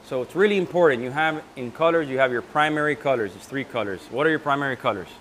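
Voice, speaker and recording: A man's voice: medium pitch, fast talker, a bit bright, a little hiss or hum. A man in his thirties hosting a custom paint class, talking loosely and joking, often trailing off mid-sentence, on a lapel mic indoors. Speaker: host and paint maker. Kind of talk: casual workshop teaching. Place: indoor event room before a backdrop.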